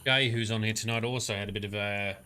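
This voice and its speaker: Bright-voiced man chatting while gaming. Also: on the low side, quick pace, slight background noise. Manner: relaxed, chatty and supportive, talking to chat while playing. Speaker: livestreamer. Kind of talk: casual gameplay commentary.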